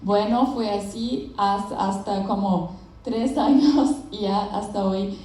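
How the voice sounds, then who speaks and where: A young Brazilian woman with a Portuguese accent gives a friendly talk at a business conference, pausing to find her words and laughing now and then. Her voice is mellow and dark. The sound is very echoey, picked up from a distance in a big hall. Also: mid-range voice, fairly even intonation. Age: young adult. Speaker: conference speaker. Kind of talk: conference presentation. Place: large event hall with audience.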